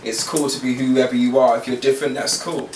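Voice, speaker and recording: A man's voice: mid-range voice, flat delivery, fast talker, far from the mic. A man with a British accent, chatting informally as an interviewer on location. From Britain. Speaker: interviewer, presenter. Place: on location in designer's studio. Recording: echoey, off-mic, faint background noise.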